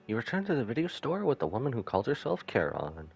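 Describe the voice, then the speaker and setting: A man's voice: medium pitch, wildly swooping pitch, fast, creaky, darkish tone, husky. A man live streaming a video game, switching between jokey casual reactions and reading the game's text aloud. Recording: game music underneath. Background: game music.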